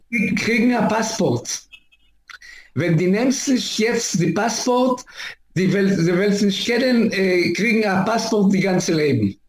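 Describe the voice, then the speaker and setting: A man in his seventies from Israel, telling his life story in an interview, halting and searching for words with many fillers. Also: high voice, darkish tone. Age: 70s. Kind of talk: oral history interview. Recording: echoey room.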